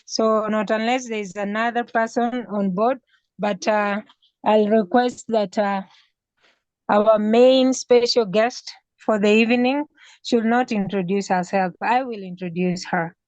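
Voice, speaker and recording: A woman from Kenya hosting an online webinar, speaking in a measured way with pauses and fillers. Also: mid-range voice, steady tone, a little mellow, dry recording. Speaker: webinar host. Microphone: video call. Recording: dull, lo-fi video call audio.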